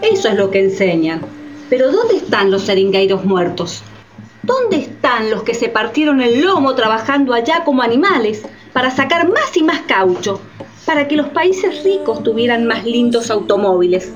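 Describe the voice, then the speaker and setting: A bitter, impassioned woman in a radio play. Her fairly high voice swings expressively as she speaks with emphasis. The recording is a little muffled. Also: sing-song, moderate pace, faint music behind. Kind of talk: radio theatre dialogue. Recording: dull sound with faint noise.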